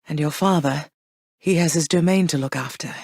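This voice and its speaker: An actress voicing Persephone, a gentle, wistful queen of the underworld and a mother, in soft, measured, tender tones. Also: deep voice, husky, no echo, studio quality. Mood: pleading. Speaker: game character. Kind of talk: game voice lines.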